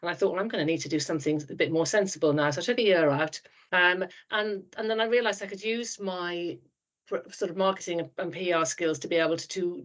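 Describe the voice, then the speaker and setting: A woman in her fifties from South Wales with a Welsh accent, chatting frankly as a podcast guest, with frequent restarts. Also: fairly low pitch. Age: 50s. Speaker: trust board chair, guest. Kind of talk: podcast interview.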